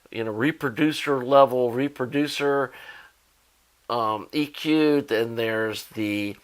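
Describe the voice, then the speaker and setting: A man's voice: medium pitch, a bit bright. American man casually explaining things. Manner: informal, rambling, thinking aloud. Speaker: audio hobbyist video host. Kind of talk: casual explanatory product talk.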